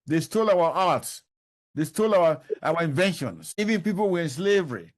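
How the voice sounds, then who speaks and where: A man's voice: a little high, big pitch swings, moderate pace. An older man answering interview questions, emphatic and repeating his key points. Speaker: professor, interview guest.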